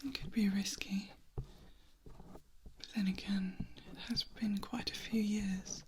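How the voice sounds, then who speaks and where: Whispering gentle vampire woman acting it out, noisy close mic. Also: mid-range voice, steady tone, close to an everyday voice. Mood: hushed, cautious. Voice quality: breathy, whispered. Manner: slow, hushed, tenderly confiding. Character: gentle female vampire, ageless, wary of humans, protective. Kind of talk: soft-spoken ASMR roleplay. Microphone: close ear-to-ear ASMR microphone. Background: noise, clicking and picking sounds.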